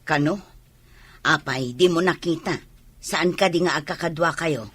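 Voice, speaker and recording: A hopeful village parent with a husky, forceful voice and an Ilocano Filipino accent. The radio drama delivery is wistful and slightly high in pitch. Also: male voice, unhurried, no room sound.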